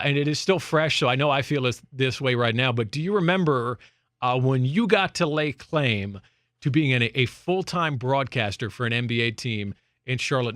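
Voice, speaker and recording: A man's voice: medium pitch, fairly bright tone, dry recording, clean studio sound. A man hosting a live sports radio interview, enthusiastic and gushing as he talks to his guest. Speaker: radio host. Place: radio studio.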